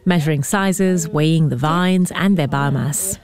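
A woman's voice: sits low, a little slow, a crowd in the background. A woman reporter reading scripted narration for a feature story.

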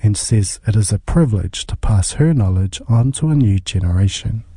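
A man narrating a radio feature report in a measured, scripted way. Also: fairly low pitch, expressive, dark tone, slightly rough, dry recording, clean studio sound.

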